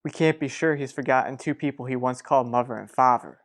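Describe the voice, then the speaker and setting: A frank, steady family friend in a soap opera radio play, a man voiced by a woman. The voice is strikingly low for a woman and speaks with serious, measured reassurance. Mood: grave, measured.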